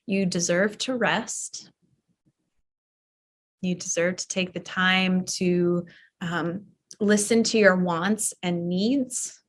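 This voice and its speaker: Yoga teacher lady, super slow and soothing, lots of pauses, soft voice. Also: mid-range voice. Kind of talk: guided relaxation. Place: live online or studio class.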